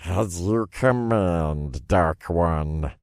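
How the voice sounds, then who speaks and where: A man's voice: sits low, very slow, a little mellow, dry recording, studio quality, heavily acted. A man voicing a huge, bloodthirsty winged familiar in an audiobook, eager and servile to his master. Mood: eager, obedient. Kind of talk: audiobook character voice.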